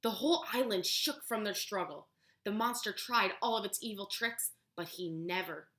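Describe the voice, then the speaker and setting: A woman teaching preschool, reading a picture book aloud with expressive, animated storytelling and pausing to ask the children questions. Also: medium pitch. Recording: echoey room.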